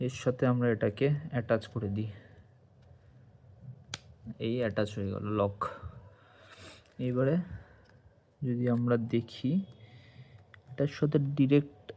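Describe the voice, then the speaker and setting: A man's voice: mid-range voice, a little slow, pauses often, dark tone. A man giving a casual hands-on tutorial, explaining step by step and speaking directly to viewers. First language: Bengali. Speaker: motovlogger and product reviewer.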